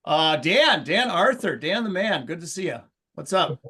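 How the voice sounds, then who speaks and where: A man's voice: fairly high pitch, very animated, moderate pace, bright, forceful tone, husky. A man hosting an online meeting, greeting people in a friendly, chatty way over a video call. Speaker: meeting host. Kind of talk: casual online meeting chat.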